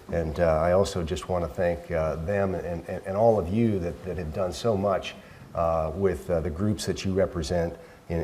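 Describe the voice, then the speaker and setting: A middle-aged man, soft-spoken and hesitant with many fillers and restarts, giving a keynote lecture as a professor in a lecture hall on a handheld mic. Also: deep voice. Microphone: handheld microphone.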